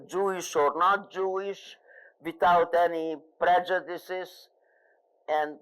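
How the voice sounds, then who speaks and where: Older Hungarian-accented woman, slow. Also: fairly low pitch, low-quality recording. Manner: halting, searching for words with frequent fillers. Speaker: Holocaust survivor, guest speaker. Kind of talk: live interview, answering audience questions.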